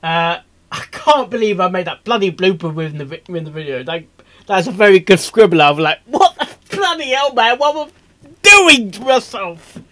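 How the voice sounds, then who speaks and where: A man hosting a reaction video, rambling casually and excitably, stammering and repeating himself as he goes. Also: high-pitched, normal speed. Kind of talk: casual off-the-cuff reaction commentary.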